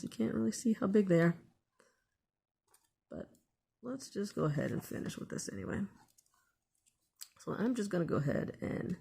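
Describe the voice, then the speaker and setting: A woman's voice: medium pitch, vocal fry, a little mellow, slightly rough. A woman hosting a casual hands-on DIY tutorial, thinking aloud in an unscripted way as she works. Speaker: DIY video host.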